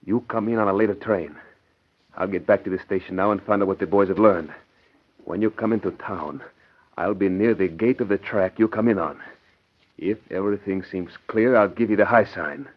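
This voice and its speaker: A man's voice: low voice, moderate pace. A man playing a young gangster henchman with slangy, urgent talk in the style of 1940s radio drama. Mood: wary, insistent. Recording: muffled old radio drama recording.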